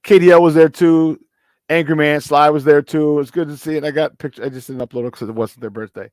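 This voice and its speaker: Guy hosting morning sports radio, bit hoarse, kinda high voice, repeats stuff for emphasis, dry close mic. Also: fairly even intonation, quick pace, a little mellow, no room sound. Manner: repetitive, emphatic, laughs often. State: slightly hoarse. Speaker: sports talk radio host. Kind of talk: casual morning sports talk.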